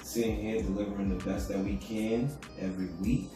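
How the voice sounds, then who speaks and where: Low-voiced laid-back New man. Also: little pitch movement, brisk. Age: adult with children. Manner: laid-back, rambling, talks low so as not to wake his sleeping son. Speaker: podcast co-host. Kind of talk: casual chat. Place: room at home. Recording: echoey room, distant mic, music.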